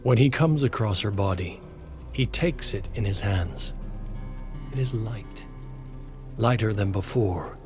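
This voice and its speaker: Slow, solemn male story narrator over music. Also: fairly low pitch, animated. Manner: measured, solemn. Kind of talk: dramatic scripted story narration.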